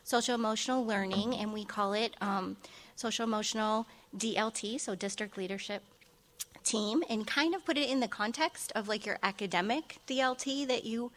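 Woman, soft-spoken, conference presenter, frequent ums, from Ohio. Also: on the high side, moderate pace. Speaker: school district director presenting a conference session. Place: conference room.

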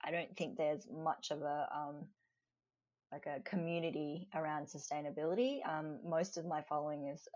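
Woman guest, low voice, halting chat full of ums, dull lo-fi sound. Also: steady tone, a little mellow. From Australia. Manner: hesitant, many ums, laughs often. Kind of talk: casual interview conversation.

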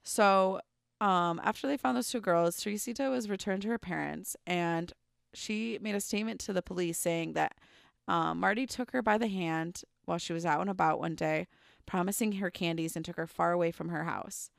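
A woman's voice: lowish voice, moderate pace, vocal fry, no room sound, studio quality. A young woman co-hosting a podcast, casually telling a true crime story with lots of "like" and "um" fillers. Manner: chatty, full of "like" and "um" fillers. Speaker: podcast co-host.

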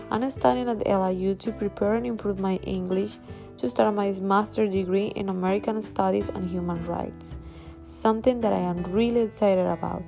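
A woman's voice: medium pitch, vocal fry. A woman from Colombia, an English student, reading a prepared self-introduction with upbeat, careful pacing.

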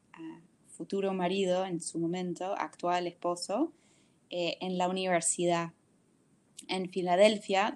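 A woman in her forties from the United States with an American accent, speaking Spanish on a video call as an interview guest. She talks thoughtfully, searching for words with frequent pauses. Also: on the low side, a little mellow. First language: English. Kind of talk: reflective video call conversation.

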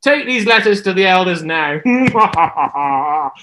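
A reader doing a wicked queen from a children's storybook, bossy and gloating, her voice raised a little higher and louder than the narration. She swings her pitch widely as she brags and threatens, with a cackling edge. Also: lively intonation, slow pace. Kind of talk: children's Bible storybook acting.

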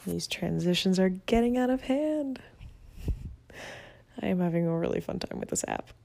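A young woman from Venezuela chats upbeat and a little self-mocking as she hosts her own podcast. Her voice sits low and warm with a gravelly edge of fry. The sound is dry and close, caught on a phone app rather than a real mic. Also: expressive, normal speed, no room sound. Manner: chatty, upbeat, self-deprecating. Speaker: podcast host. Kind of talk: casual solo podcast monologue. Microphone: phone app, no proper mic.

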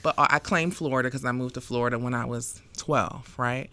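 A man with a Northern US accent, a guest speaking in a thoughtful, measured way in a casual discussion. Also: medium pitch, fairly even intonation, bright, forceful tone, clean tone.

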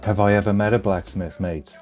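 A man's voice: fairly low pitch, steady tone, fairly quick, darkish tone, soft background music. A Welsh man co-hosting a podcast in casual chat, joking and teasing his co-host. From Wales. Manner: joking, teasing, calls people mate.

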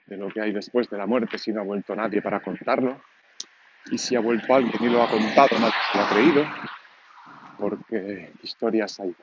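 A man of 49 from Catalonia with a Catalan accent in his Spanish, hosting a solo podcast in an informal, unscripted monologue, thinking aloud as he rambles. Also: lowish voice. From Catalonia, Spain. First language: Catalan, alongside Spanish. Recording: dull lo-fi home recording, clear background noise.

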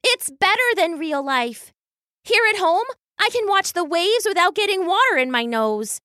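A woman's voice: very high-pitched, very animated, moderate pace, bright, forceful tone, dry recording, studio quality, pitched above the performer's own voice, full character voice. A woman voicing an anxious, stubborn young female weasel in a children's story, dramatic and protesting. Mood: defensive, upset.

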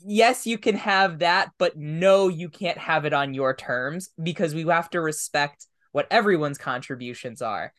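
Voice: medium pitch, unhurried, few pauses, a bit bright. A podcast host thinking aloud in a reflective, casual conversational interview. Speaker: tabletop game master.

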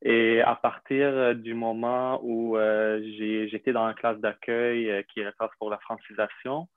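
A young man from Syria, a native Arabic speaker, telling his story in an interview with many pauses, fillers and restarts. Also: mid-range voice, flat delivery, clean tone, no echo. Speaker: interview guest. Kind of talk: hesitant conversational interview. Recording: dry tinny phone-like line.

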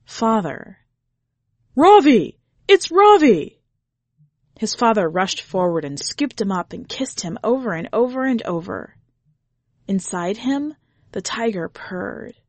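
A woman's voice: mid-range voice, lively intonation, measured pace, dry recording. A woman reading a short story aloud as a podcast host, in scripted narration.

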